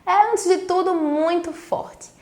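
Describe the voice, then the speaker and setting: A woman's voice: very high-pitched, slow pace, a little mellow. A woman teacher with a Northeastern Brazilian accent, giving an earnest recorded lesson and speaking straight to her pupils. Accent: Northeastern Brazilian, Pernambuco. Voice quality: earnest, explaining, addresses pupils directly. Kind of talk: recorded school video lesson. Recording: echoey room.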